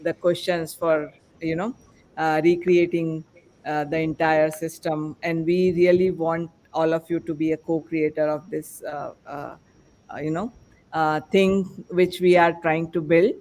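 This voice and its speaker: A man hosting a panel discussion as podcast host, speaking in a halting, rambling way. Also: on the high side, flat delivery, a little mellow, a little hiss or hum.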